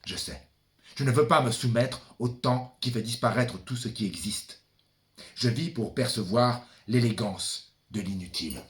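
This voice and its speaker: A man performing a theatrical monologue as a brooding son, the ferryman of the dead, addressing his father in a deliberate, dramatic, incantatory manner. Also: medium pitch, a bit bright, natural voice. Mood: solemn, yearning. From France. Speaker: stage performer.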